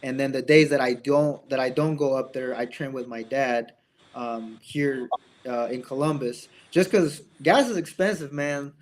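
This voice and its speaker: A man's voice: mid-range voice, steady tone, a little slow. A young man raised in Indiana with an American accent, talking casually as an interview guest and acting out bits of dialogue as he tells stories. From born in Mexico, raised in Indiana, United States.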